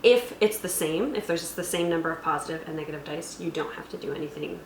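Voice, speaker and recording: A woman's voice: low voice, fast talker, gravelly. A woman hosting a tabletop roleplaying tutorial, explaining rules methodically and conversationally, step by step. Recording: echoey room with faint background noise.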